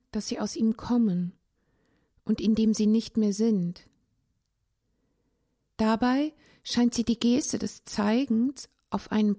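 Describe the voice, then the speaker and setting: A woman reading a literary essay aloud in a measured, contemplative way, with pauses between phrases. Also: on the high side, vocal fry, dark tone. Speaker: narrator, language teacher.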